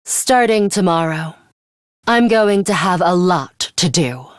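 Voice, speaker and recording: An actress playing a stern, aloof young woman in a video game, speaking in a curt, commanding, deliberate way. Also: fairly low pitch, expressive, no echo, studio quality. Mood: cool, commanding. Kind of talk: scripted video game character lines.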